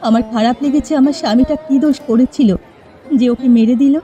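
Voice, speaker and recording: A woman's voice: high voice, quick pace, mellow, faint music behind, lightly acted. A woman playing an earnest, forgiving village widow in a folk-tale cartoon. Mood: sorrowful. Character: grieving but proud, forgiving village widow.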